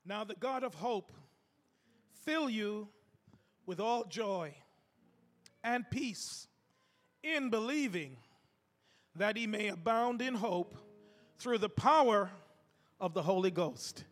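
Pastor guy with a cold, gravelly and kinda high, preaching in a big echoey church. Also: expressive, slow, pauses often. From United States. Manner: fervent, calls out to the congregation. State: has a cold. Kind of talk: sermon. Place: church sanctuary. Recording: echoey hall reverb.

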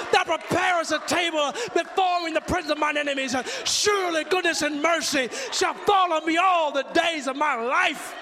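A man preaching an impassioned sermon with a gravelly voice, fervent and emotional, in a rhythmic, repetitive, declamatory cadence. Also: extremely high pitch, background noise. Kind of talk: impassioned sermon listing the names of Christ. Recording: long reverb of a large hall.

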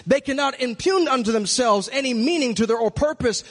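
Michigan-raised male preacher, higher register, earnest and building, big echoing hall. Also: fairly quick. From Michigan, USA. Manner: earnest, reflective, builds to emphatic. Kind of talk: sermon-style monologue. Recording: long hall reverb.

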